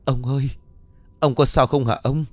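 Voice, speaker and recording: A man's voice: medium pitch, moderate pace, darkish tone, no room sound, pitched above the performer's own voice, close to an everyday voice. A man voicing an anxious, distraught older mother in a horror story, pleading and wailing.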